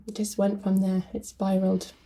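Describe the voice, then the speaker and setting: A woman's voice: medium pitch, completely flat delivery, moderate pace, vocal fry, dark tone. A woman speaking reflectively with fillers as a guest translator in a podcast conversation.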